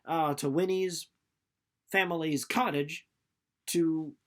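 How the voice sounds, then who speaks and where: A man's voice: fairly high pitch, fairly even intonation, slow pace, a bit bright. A young man giving a casual, informal book recap, playful and rambling off into asides. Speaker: book reader and presenter.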